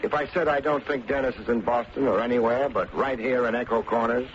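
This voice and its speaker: An actor playing a cocky, shrewd private detective with wry, slangy, clipped delivery in an old-time radio drama. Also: male voice, medium pitch, fairly even intonation, brisk, lightly acted. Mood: confident, accusing. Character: wisecracking, hard-boiled male private detective, cocky and shrewd. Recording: muffled, noisy vintage radio recording.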